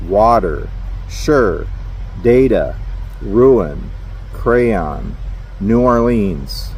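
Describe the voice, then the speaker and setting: Middle-aged minnesota guy rambling in his truck, lowish voice, bit of road hum behind. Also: poor audio. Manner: rambling, self-deprecating, chuckles often. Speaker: truck driver vlogger. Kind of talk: casual talking-to-camera vlog. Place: parked truck at a highway rest area.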